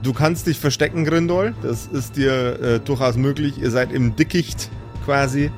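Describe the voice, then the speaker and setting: A man's voice: mid-range voice, normal speed, darkish tone, soft background music. A man improvising narration as game master of a fantasy tabletop audio play, drawing out his scene descriptions suspensefully.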